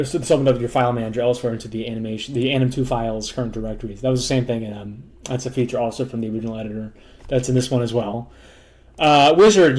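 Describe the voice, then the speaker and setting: A hoarse man casually walking through a demo of software he built, rambling loosely with lots of fillers, restarts and blunt joking asides. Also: mid-range voice. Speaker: developer demoing his own software. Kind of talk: casual rambling screen walkthrough.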